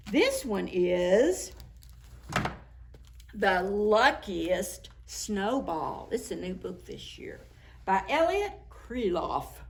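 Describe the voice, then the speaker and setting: Woman storytime reader, animated sing-song delivery, unhurried pace, deliberate, preschool picture book narration. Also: lowish voice, vocal fry. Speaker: children's library storytime reader.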